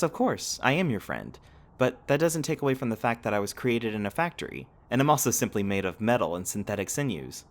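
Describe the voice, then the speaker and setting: A man's voice: medium pitch, lightly acted. A man playing a polite, curious companion robot in an ASMR roleplay, speaking formally and precisely with a literal-minded courtesy. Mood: earnest, questioning. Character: polite, curious, eager-to-please male humanoid companion robot. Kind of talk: ASMR roleplay audio drama acting.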